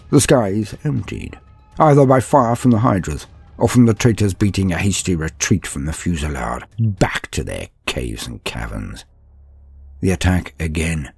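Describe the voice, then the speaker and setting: A man narrating a scripted story in a theatrical, measured manner with weighty pauses. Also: sits low, creaky, a little mellow, slightly rough, no room sound. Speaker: storyteller and channel host. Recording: music behind the voice. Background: background music.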